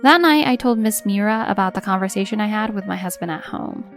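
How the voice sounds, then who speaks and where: A woman's voice: medium pitch, animated, brisk, faint music behind, natural voice. A woman playing a middle-aged housewife who narrates and talks politely and earnestly in a manga dub. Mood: resolute, relieved. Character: long-silenced middle-aged housewife, polite, quietly resolute. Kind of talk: acted first-person narration and dialogue in manga dub.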